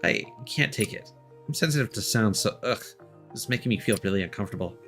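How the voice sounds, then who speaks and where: A man's voice: lowish voice, expressive, fast, fairly bright tone. A timid, clingy teenage girl from a video game, acted by a man reading her lines. She sounds earnest and plaintive, easily bothered by noise, with faint music underneath. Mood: distressed. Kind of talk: reading game dialogue aloud.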